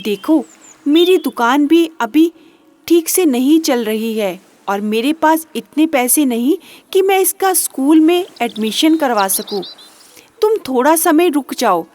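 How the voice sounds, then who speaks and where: A poor, patient village father from a storybook, read by a woman, explains things earnestly. The voice is high and the pace slow. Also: animated, darkish tone. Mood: apologetic, earnest.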